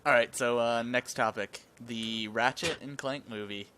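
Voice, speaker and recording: A man's voice: medium pitch, fairly even intonation, measured pace, sharp tone, smooth. A man with a Southern US accent, co-hosting a casual gaming podcast, often stumbling and repeating words as he steers the topics. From Southern United States.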